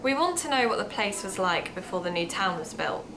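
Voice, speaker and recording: An actress playing a brisk, dry-humoured woman who makes an amateur true-crime podcast, in an audio drama. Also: medium pitch, sing-song, a crowd in the background. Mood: businesslike.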